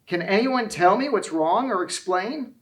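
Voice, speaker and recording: A curious, friendly tailor from a children's storybook, acted out by a man. His voice is squeaky and high, full of neighbourly interest. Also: expressive, a little slow. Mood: curious, concerned.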